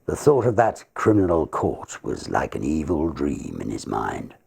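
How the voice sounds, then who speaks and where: A man reads literary tales with theatrical flair. His voice is extremely deep and heavily gravelled, with a husky grain, and his delivery is expressive and dramatic. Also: a little slow. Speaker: ghost story reader. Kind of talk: dramatic literary reading, verse and prose.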